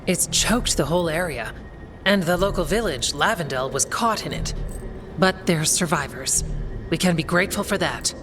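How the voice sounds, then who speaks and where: Actress as low-pitched woman scout, serious, composed, matter-of-fact, video game cutscene, music behind. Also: expressive, normal speed, lightly acted. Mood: grave, composed.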